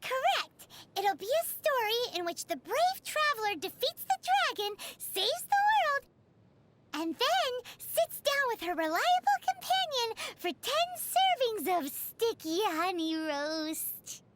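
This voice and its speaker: A woman voicing a tiny, food-obsessed female companion creature in a video game, squeaky, childlike and excitable, with a whiny, bubbly manner. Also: takes it slowly, noisy background, full character voice. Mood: dreamy, determined. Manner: refers to self in third person, whiny and bubbly. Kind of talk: video game character acting.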